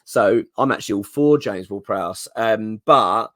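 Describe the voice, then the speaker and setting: A man with a hoarse voice from a cold, hosting a fan channel in casual, rambling commentary full of ums and restarts. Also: lowish voice, a little slow, no echo, clean studio sound. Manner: chatty, rambling, excitable with many ums and restarts. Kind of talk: casual solo commentary to camera.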